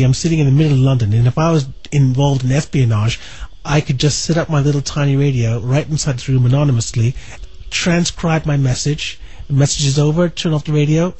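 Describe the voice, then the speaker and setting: A man's voice: a little high, warm tone, husky, rough recording. A man being interviewed while explaining and demonstrating a piece of equipment. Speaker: interviewee demonstrating a shortwave radio.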